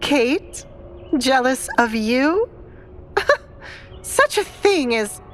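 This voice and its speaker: A woman's voice: sits high, sing-song, extremely slow, fairly bright tone, pitched above the performer's own voice, full character voice. A woman voicing an angry, haughty middle-aged stepmother in an audio drama, indignant and scornful, with light background noise.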